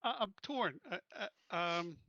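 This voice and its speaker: A man's voice: sits high, animated, unhurried, bright, forceful tone, no echo, lo-fi sound. A man playing a tabletop game, casually talking through plans as one of the players. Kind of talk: casual game planning talk.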